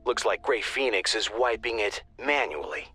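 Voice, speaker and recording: An actor playing a wry male operations dispatcher who briefs the squad in a dry, quick manner. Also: fairly low pitch, expressive, sharp tone, breathy edge, soft background music, full character voice. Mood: briefing. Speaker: mission dispatcher. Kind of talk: video game voice acting.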